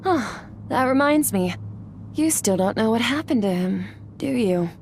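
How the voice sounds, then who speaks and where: A woman voicing a cool, detached female warrior mentor who gives calm, clipped instructions in a game cutscene. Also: a little high, a little slow, a little hiss or hum. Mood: coolly instructive. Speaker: sword mentor. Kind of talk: video game cutscene voice acting.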